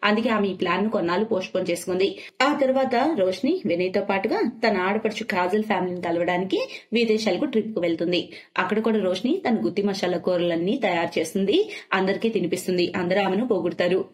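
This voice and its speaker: Woman narrating a moral story, medium pitch. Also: animated, fast.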